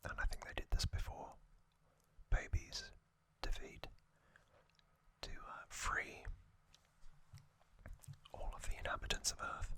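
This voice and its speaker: An ASMR host whispering an unhurried, unscripted-sounding explainer in a breathy, unvoiced whisper, pausing often as they go. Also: a bit bright.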